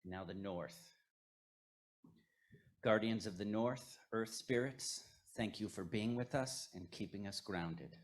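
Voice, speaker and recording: Male ritual leader, lowish voice, unhurried solemn phrasing, ceremonial invocation.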